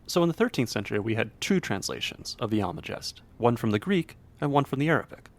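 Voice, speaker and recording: A man co-hosting a history podcast, explaining in a measured way. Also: mid-range voice, lively intonation. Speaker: podcast co-host. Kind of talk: scripted narration.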